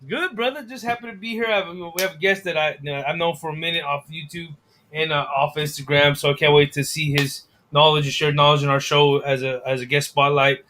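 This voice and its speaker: A man's voice: on the high side, fairly even intonation, brisk, bright, forceful tone, a little hiss or hum. A man co-hosting a podcast in easygoing, slangy chat, often repeating his phrases. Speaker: podcast co-host. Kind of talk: casual chat.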